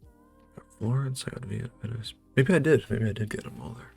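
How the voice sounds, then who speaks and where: A man's voice: medium pitch, a little fast, creaky, darkish tone, soft background music. A man with a North American accent thinking aloud as he plays a geography quiz, musing hesitantly and muttering to himself in a casual commentary. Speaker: host playing a geography quiz.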